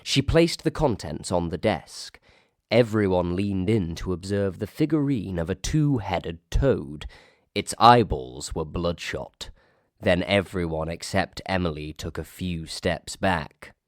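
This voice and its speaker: A man with a Northern English accent reading a comic ghost story aloud, lively, lifting into high voices for the quoted characters. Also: measured pace, dry recording, clean studio sound. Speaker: reader.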